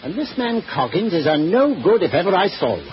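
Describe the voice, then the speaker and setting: High-voiced, blunt ship's captain. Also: male voice, sing-song, fairly quick. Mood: blunt, disapproving. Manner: blunt, indignant, deferential with sirs. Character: blunt ship's captain, disapproving of his crewman. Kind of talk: 1940s radio detective drama acting. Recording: muffled, noisy old radio recording.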